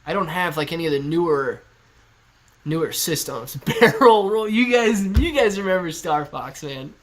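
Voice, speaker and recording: A man's voice: on the high side, animated, normal speed, a bit bright. A man in his thirties hosting a casual live stream from his living room, reading viewer chat aloud, laid-back and chatty, laughing often. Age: 30s. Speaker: stock livestream host. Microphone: laptop on a kitchen stool at eye level.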